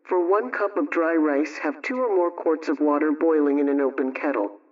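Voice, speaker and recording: A man reading scripted household advice and recipes in a measured, instructional way, like a 1920s radio programme host. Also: fairly high pitch. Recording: dull, distant vintage radio sound.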